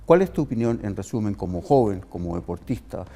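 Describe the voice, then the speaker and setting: Emphatic Chilean host with a mellow low voice. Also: male voice, sing-song, fairly quick. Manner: emphatic, oratorical, builds lists. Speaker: talk show host. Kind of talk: interview with long, rhetorical questions.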